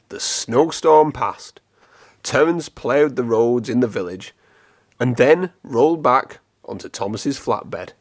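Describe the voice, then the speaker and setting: A man reading a children's storybook aloud in an expressive, clearly phrased storytelling manner. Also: mid-range voice. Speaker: storybook reader.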